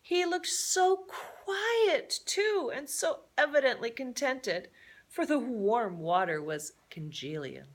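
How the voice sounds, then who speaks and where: Woman, storybook read-aloud, very expressive pitch, slow pace, theatrical, playful chatty asides. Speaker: storybook reader.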